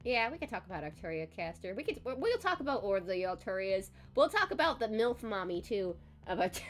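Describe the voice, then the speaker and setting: A woman's voice: mid-range voice, sing-song, fast talker. Congested woman chatting on stream. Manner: chatty, laughs often, self-deprecating. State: congested, recovering voice. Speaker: streamer host. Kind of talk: casual stream chat.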